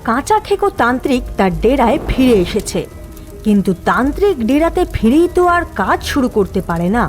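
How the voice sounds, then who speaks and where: A woman narrating a cartoon series in a lively storytelling way. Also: on the high side, normal speed, faint music behind. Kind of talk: scripted narration.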